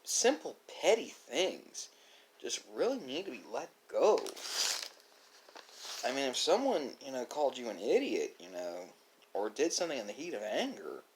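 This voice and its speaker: Guy doing a thoughtful philosophical ramble for his channel, medium pitch, halting w long pauses, bit of noise and clicking in the recording. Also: big pitch swings. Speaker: host of an ASMR mukbang channel. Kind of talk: musing philosophical monologue.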